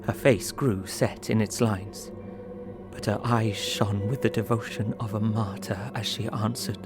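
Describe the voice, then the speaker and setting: Grave young husband, measured diary narration for audio drama, troubled tone, music underneath. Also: male voice, lowish voice, fairly even intonation. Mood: pained, hushed. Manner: sombre, measured.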